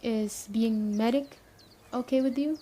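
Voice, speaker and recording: Dark-toned woman acting a stern survivor camp leader. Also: on the high side. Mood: businesslike, earnest. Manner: brisk, matter-of-fact, addressing the listener directly. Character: stern but caring young woman leading an apocalypse survivor camp. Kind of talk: ASMR roleplay acting.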